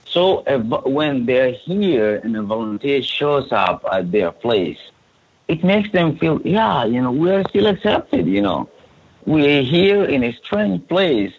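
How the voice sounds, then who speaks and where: A man from Sudan talks earnestly in a podcast interview, and his voice has a husky, breathy grain. He often stumbles and repeats a word before he goes on. The recording sounds dull and lo-fi. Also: mid-range voice. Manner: earnest, repeats words when restarting. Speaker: refugee support services coordinator.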